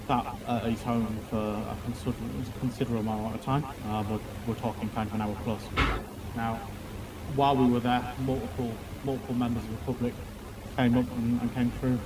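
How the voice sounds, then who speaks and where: A man speaks in a measured, hesitant way, explaining at length as if giving testimony in an online roleplay. His voice sits at a middle pitch, with clear vehicle noise behind it. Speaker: streamer roleplaying a court witness.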